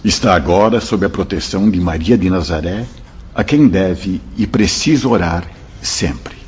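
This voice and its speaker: A man playing a gentle, devout spirit counselor in a radio drama, speaking in a solemn, fatherly, exhorting way. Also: low voice, expressive, a little slow. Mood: solemn, consoling. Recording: dull, muffled audio.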